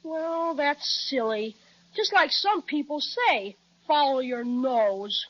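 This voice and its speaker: Woman as a very high, plucky young boy. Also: animated, slow pace, a bit bright, full character voice. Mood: skeptical. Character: plucky, skeptical, slangy young boy adventurer. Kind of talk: old-time children's radio serial acting. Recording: muffled, lo-fi old radio recording.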